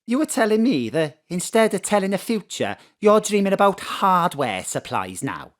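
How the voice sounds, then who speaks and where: An excitable Welsh mother, played by an actress, with a voice that swings widely in pitch. She is doting, nosy and scatty, teasing as she muddles her words in a comic audio drama. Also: normal speed, lightly acted.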